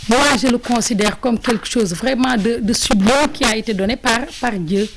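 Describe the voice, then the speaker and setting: A woman from Senegal explaining history in an interview, halting and thoughtful with many restarts. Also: on the high side.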